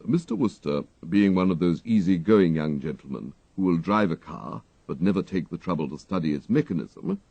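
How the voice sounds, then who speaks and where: Audiobook narrator, male, English, formal and dryly comic, mellow low voice with creak. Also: lively intonation, moderate pace, hardly any pauses, no room sound, muffled recording. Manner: formal, precise. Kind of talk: dry comic scripted narration in character as a valet.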